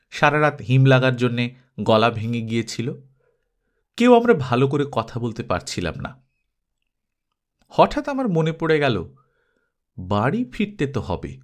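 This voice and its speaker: A male storyteller reads scripted literary fiction. His mid-pitched voice moves with very lively intonation, giving the narration an expressive, dramatic shape. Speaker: narrator, audiobook reader.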